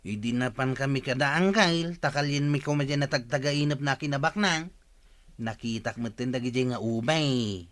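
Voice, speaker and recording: Bright-voiced teasing village man, acted by a man. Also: medium pitch, normal speed, no echo, lightly acted. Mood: eager. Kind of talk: radio comedy drama acting.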